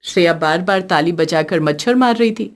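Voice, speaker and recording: A woman's voice: on the low side, moderate pace. A woman giving scripted narration as the storyteller of a cartoon tale.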